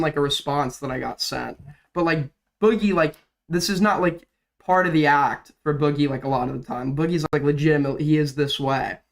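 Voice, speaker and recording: A young man with a slightly raised pitch talks through drama commentary on his stream, his throat sore from illness so the voice cracks and he coughs. He rambles, swears freely and leans on fillers, shifting easily between quick and slow talk. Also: gravelly. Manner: rambling, profane, filler-heavy. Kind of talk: casual livestream commentary.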